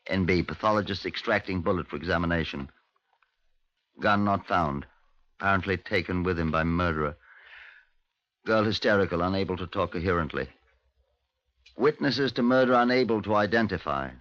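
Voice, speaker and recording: An actor playing a sober, dry British police inspector in a 1950s radio drama, clipped and matter-of-fact. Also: male voice, low voice, normal speed, long pauses, a bit bright, no echo. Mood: clipped, businesslike. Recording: dull old radio recording.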